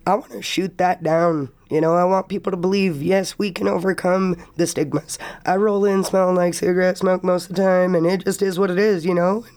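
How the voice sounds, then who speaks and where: A hoarse young woman, a student guest on a college radio interview, speaking earnestly and reflectively while sick. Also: on the low side, fairly even intonation, fairly quick, few pauses, a little mellow. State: hoarse from illness. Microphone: studio microphone.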